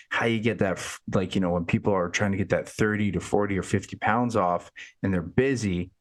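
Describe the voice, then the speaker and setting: A man hosting a fitness podcast, upbeat and chatty, often repeating words as he thinks. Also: on the low side. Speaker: podcast host. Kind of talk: casual interview.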